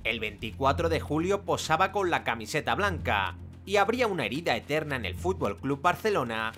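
A man narrating a sports documentary in a measured, dramatic scripted style. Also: fairly high pitch, big pitch swings, sharp tone. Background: music.

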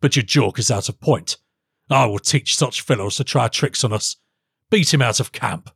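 A male narrator puts on a gruff storybook king, imperious and quick to anger. He speaks in abrupt commands, with a husky edge and a close, dry sound. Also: mid-range voice, a bit bright, no room sound, exaggerated voice. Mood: sharp, suspicious. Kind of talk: audiobook character voice.

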